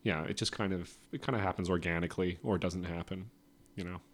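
Man, very low voice, gravelly fry, rambling, hedging, casual podcast guest. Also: fairly even intonation, fast talker. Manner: rambling, hedging with many restarts. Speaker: comedian guest.

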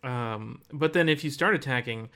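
A man's voice: medium pitch, moderate pace, a bit bright. A man co-hosting a video game podcast, explaining things in a casual, conversational way. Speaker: podcast co-host.

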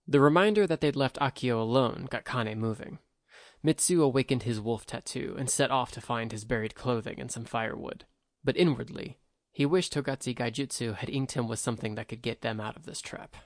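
A man narrating a story in a steady, even voice at an ordinary mid pitch. The reading sounds dry and close, with no room around it. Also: fairly quick, no room sound. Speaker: audiobook narrator. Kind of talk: scripted narration.

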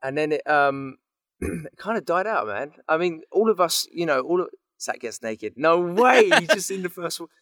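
A man's voice: fairly high pitch, expressive, normal speed, a bit bright, no echo, clean studio sound. A man in his thirties chatting casually and telling anecdotes in a playful, easily amused way. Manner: playful, laughs easily while talking. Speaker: voice actor guest.

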